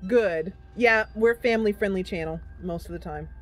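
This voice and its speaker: A woman's voice: mid-range voice, moderate pace. Chatty woman streaming over game music. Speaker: streamer and host playing a fantasy role-playing game. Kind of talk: casual livestream gameplay commentary with narration read aloud.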